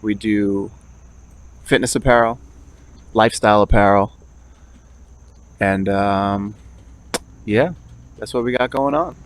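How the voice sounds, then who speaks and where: A man's voice: lowish voice, slow, pauses often, a little hiss or hum. A man who grew up in Brooklyn, a guest in a casual interview, answering in an easygoing and friendly way. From Brooklyn, New York. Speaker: guest, fitness business owner.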